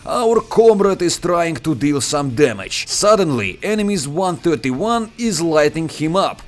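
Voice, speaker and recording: A man with a Russian accent, a native Russian speaker, narrating a tank battle replay in a playful, joking commentary style. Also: unhurried. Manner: playful, joking, expressive with sudden pitch jumps. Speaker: gameplay commentator. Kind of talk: scripted game commentary voice-over.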